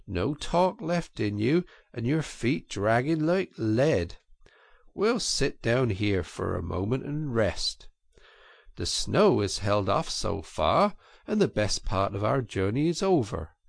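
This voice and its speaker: A man voicing a kindly male water rat who speaks low and gently to his friend. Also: sing-song, slow pace, gravelly, dry recording, studio quality, full character voice.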